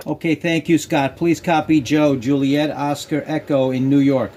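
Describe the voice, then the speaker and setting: A man with a slightly high voice talks as a radio hobbyist and host. He moves between casual explanation and clipped phonetic exchanges, with very loud noise around him. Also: flat delivery, moderate pace. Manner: spells call signs in phonetic alphabet. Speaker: amateur radio operator and video host. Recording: noisy ham radio static.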